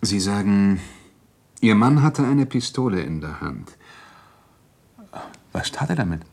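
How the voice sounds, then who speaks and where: Male police inspector for radio drama: lowish husky voice, clipped questions, businesslike and brusque. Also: sing-song, measured pace, a little mellow, exaggerated voice. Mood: probing. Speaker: actor playing a police inspector. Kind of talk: German radio play acting, interrogation dialogue.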